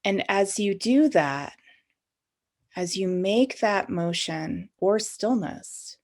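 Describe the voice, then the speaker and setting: A woman's voice: medium pitch, lively intonation, takes it slowly, darkish tone. A woman leading a guided meditation in an informal, conversational and inviting way, as the guide of the session.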